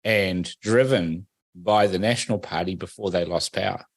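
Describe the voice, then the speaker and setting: A man born in Fiji hosts a political radio talk show with a fairly low voice. He talks in a pointed, emphatic way and leans on leading questions. The sound is dry and close to the mic. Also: moderate pace, dry recording. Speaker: host.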